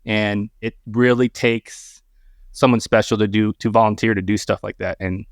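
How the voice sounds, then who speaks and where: A man's voice: lowish voice, steady tone, moderate pace, dry recording, studio quality. A man in his mid-forties chatting casually, repeating words as he goes and swearing freely, in a self-deprecating way. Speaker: podcast guest. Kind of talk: casual banter and storytelling.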